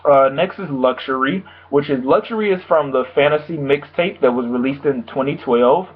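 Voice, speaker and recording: A young man, slightly hoarse from a sore throat, giving a rambling, casual album review full of fillers. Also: medium pitch, moderate pace. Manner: rambling, loose, full of "like" and "you know". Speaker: music reviewer. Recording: tinny phone-like sound.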